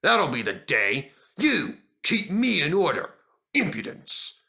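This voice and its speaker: A man's voice: fairly high pitch, wildly swooping pitch, slow pace, vocal fry, sharp tone, full character voice. A man voicing a proud, grumpy old male steam engine with a stuffy, indignant, dignified way of talking.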